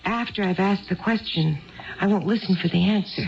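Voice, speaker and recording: An actress in a 1970s radio drama playing a troubled, tipsy wife who confides earnestly and ramblingly in a bartender. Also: female voice, mid-range voice, normal speed, creaky, slight background noise, natural voice. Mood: earnest, confiding. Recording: dull 1970s radio broadcast recording.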